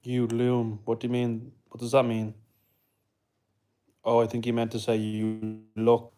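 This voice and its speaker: A man's voice: mid-range voice, completely flat delivery, a little slow, warm tone, soft background music. A young man in his twenties from the UK, chatting casually into a phone on a livestream at home, rambling and answering viewers' comments. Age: 20s. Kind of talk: casual live-stream chat. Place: home indoors.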